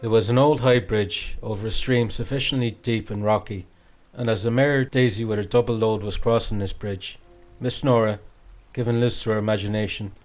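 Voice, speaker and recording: A man reading a classic novel as an audiobook narrator, in an expressive, dramatic storytelling way. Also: lowish voice, moderate pace, soft background music.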